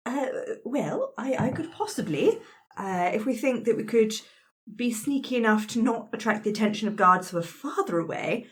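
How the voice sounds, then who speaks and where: A woman playing a tabletop roleplaying game, chatting casually in and out of character with polite, gently prim phrasing. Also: on the high side, sing-song, normal speed.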